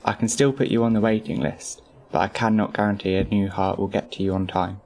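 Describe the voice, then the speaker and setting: A man playing an apologetic doctor in an amateur audio drama, speaking in a formal, measured way. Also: lowish voice, little pitch movement, darkish tone, lightly acted. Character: apologetic male doctor delivering bad news.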